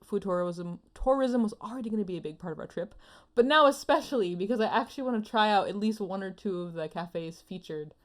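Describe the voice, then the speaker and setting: A woman with a mid-pitched voice talks casually as a podcast co-host. She is effusive and leans hard on her words. Her thoughts ramble along in an opinionated, conversational flow. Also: fairly quick.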